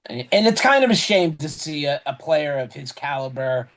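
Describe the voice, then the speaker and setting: A man co-hosting a casual sports podcast, rambling and correcting himself, his voice going a little hoarse. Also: fairly high pitch, animated, normal speed, a bit bright. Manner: rambling, self-correcting, chatty. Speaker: podcast co-host.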